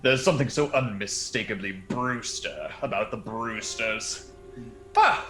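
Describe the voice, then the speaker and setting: A man playing a sinister, grandiose prodigal nephew in a radio drama, pompous and deliberate. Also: lowish voice, pushed and bright. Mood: smug, menacing. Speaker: radio play actor. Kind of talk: theatrical radio drama acting. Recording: roomy echo with faint music.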